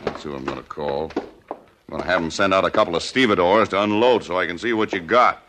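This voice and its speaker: Deep-voiced, gruff, impatient highway cop. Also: male voice, fast talker, pushed and bright. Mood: stern, threatening. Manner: curt, bossy, badgering. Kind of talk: 1940s radio drama acting. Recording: noisy 1940s radio broadcast recording.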